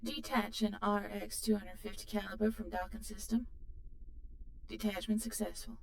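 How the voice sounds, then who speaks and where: Female ship AI played by a woman: formal, measured, polite, slightly creaky, set back in an echoing space. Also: medium pitch, little pitch movement, lightly acted. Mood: formal, warning. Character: polite, impassive female ship computer assistant. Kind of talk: sci-fi audio roleplay. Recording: roomy, distant, faint background noise.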